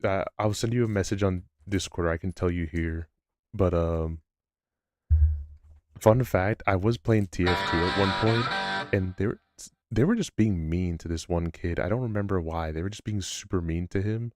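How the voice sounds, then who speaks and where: A man with a low voice chatting casually on a live stream, rambling and self-deprecating. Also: moderate pace, no echo, clean studio sound. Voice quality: low-pitched voice. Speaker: livestreamer. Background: background music.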